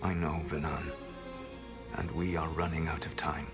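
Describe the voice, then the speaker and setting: An ancient elf mage from a game, deep voice, measured and formal, sad but resolute, music playing under it. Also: male voice, little pitch movement. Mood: tender, sorrowful. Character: ancient male elven mage, sorrowful rebel, calm and resolute. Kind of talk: scripted video game acting.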